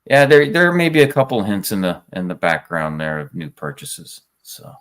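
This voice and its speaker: A man's voice: sits low, big pitch swings, normal speed, a bit bright. A man chatting casually as a show guest, speaking in short, dry remarks. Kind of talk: casual chat.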